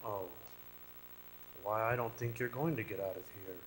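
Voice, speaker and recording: An actor playing the laconic, eerily matter-of-fact ghost of a dead miner in a radio suspense drama. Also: male voice, lowish voice, steady tone, normal speed, close to an everyday voice, poor audio. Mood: eerily calm.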